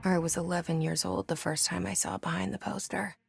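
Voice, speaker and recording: An actress playing a guarded, haunted young woman in a video game, speaking introspective lines in a breathy, hushed, brooding way. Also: fairly low pitch, fairly even intonation, dry recording, exaggerated voice. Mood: confiding, wry. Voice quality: breathy, hushed, often near a whisper. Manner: slow, brooding, with deliberate pauses. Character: guarded, haunted young woman narrating her private thoughts. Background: music.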